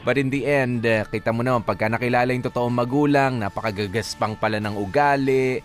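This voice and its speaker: A man's voice: mid-range voice, fairly even intonation, brisk. A man with a Filipino accent hosting a radio letter show, mixing Tagalog and English as he reads and comments casually. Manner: code-switches between Tagalog and English. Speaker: radio host reading a listener's letter and commenting. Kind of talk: radio advice show reading and casual banter. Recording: music playing behind the voice.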